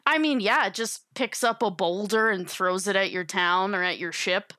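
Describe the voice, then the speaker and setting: A woman's voice: medium pitch, normal speed, pushed and bright, no echo, studio quality. A woman chatting casually and emphatically as a guest on a tabletop gaming podcast.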